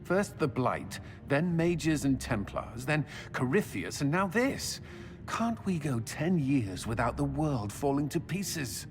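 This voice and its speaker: An actor playing an exasperated, sardonic military commander in a fantasy game. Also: male voice, fairly high pitch, sing-song, takes it slowly, soft background music, full character voice. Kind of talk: video game dialogue acting.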